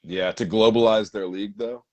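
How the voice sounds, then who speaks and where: Low-voiced excitable young man. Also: moderate pace, highs cut off, crowd noise. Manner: excitable, rattles off stats. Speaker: podcast co-host. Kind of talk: casual chat.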